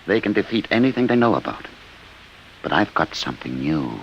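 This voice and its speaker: Weary idealist scientist, bottomless low pitch, grave earnest delivery, muffled hissy vintage radio recording. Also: male voice, sing-song, fairly quick, vocal fry, exaggerated voice. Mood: earnest, urgent. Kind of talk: 1950s radio drama acting.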